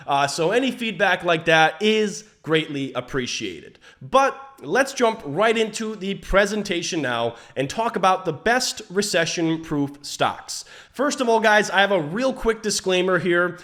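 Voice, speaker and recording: A man's voice: high-pitched, fairly bright tone. A man in his twenties casually explaining investing to his viewers, upbeat and chatty with frequent fillers and asides. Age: 20s. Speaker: finance YouTuber. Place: his home property. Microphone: camera setup, framed from a distance.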